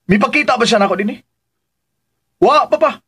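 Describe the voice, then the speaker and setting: An actor with a Filipino accent playing a stubborn, defensive grown son in a radio drama, insistent and challenging. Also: male voice, very high-pitched, moderate pace, noisy background. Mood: indignant, challenging. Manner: insistent, challenging, fires back questions.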